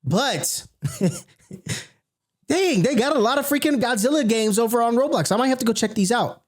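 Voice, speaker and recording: A man's voice: very high-pitched, animated, normal speed, slight background noise. A man hosting a reaction video, speaking casually and off the cuff with slangy interjections and repeated phrases.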